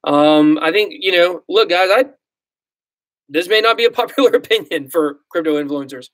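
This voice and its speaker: A man's voice: high voice, normal speed, a bit bright, no echo. A man in his thirties with a Southern US accent, chatting casually on a livestream as host, rambling and self-deprecating, with lots of ums and likes. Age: 30s. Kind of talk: casual livestream chat reading comments.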